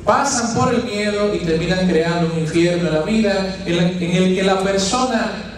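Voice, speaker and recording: Dominican friar giving a sermon: high-voiced man, questions and homely sayings, caught from afar in a very reverberant church. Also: normal speed, slight background noise. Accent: Dominican Spanish. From Dominican Republic. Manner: teaching with rhetorical questions and folksy asides. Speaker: preacher, friar. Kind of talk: sermon at a healing retreat. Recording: very echoey church, distant mic.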